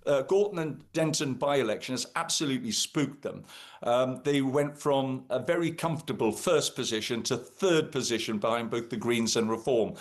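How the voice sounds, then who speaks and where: A man's voice: mid-range voice, moderate pace. Emphatic Welsh man talking politics. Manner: animated, emphatic, frequent "uh" and "um" fillers. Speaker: political commentator guest. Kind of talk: live radio discussion.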